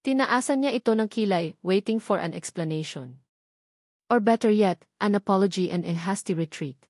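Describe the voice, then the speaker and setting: A Filipino-accented woman tells a love story as an audiobook narrator. Her delivery is dramatic but conversational, at a medium pitch. The recording is very dry, with little trace of a room. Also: lively intonation, moderate pace, a little mellow, no echo.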